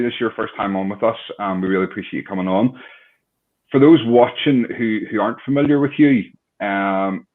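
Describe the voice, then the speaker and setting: A man hosting an online fan talk show, chatting casually and rambling a little, with frequent restarts. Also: on the low side. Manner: friendly, rambling, restarts often. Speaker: host. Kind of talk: casual chat. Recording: tinny phone-like line.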